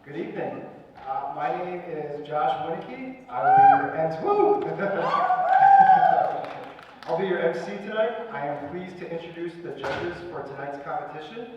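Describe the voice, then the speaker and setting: A man's voice: on the high side, sing-song, normal speed. A man emceeing a school pageant, announcing to a live audience in an upbeat, playful showman manner. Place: large echoey school auditorium. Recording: far-off echoey hall, muffled, noisy.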